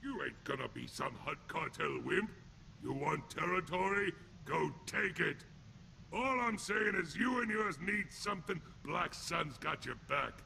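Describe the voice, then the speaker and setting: A sneering alien thug from a video game, with a heavily growling, rasping voice and a sharp, hard edge. He talks in blunt, crude street slang like a hardened enforcer fresh out of prison. Faint noise sits behind him. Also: fairly high pitch, expressive, a little slow, full character voice. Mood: smug, gruff. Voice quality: gravelly, rough. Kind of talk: video game character acting.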